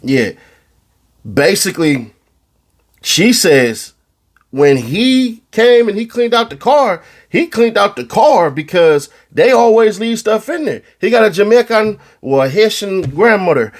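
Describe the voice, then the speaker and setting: A man from California giving casual, animated commentary on a trial, slangy and freely swearing. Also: high-pitched, a little slow. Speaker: online commentator.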